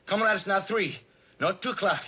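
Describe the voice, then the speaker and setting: An actor playing a terrified young airman reliving a plane crash, sobbing, shouting and pleading. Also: high-pitched, brisk, slightly rough, exaggerated voice. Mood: panicked.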